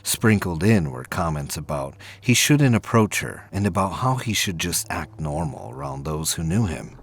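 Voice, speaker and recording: Deep-voiced, animated male storyteller. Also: normal speed, breathy edge. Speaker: narrator of an audio drama. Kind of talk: dramatic scripted narration of science fiction prose.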